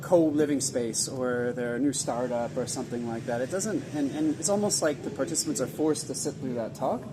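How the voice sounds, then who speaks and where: Upbeat man interviewing over noisy rumble. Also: normal speed. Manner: enthusiastic, upbeat. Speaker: event organizer, interviewer. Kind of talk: casual interview. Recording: background noise and rumble.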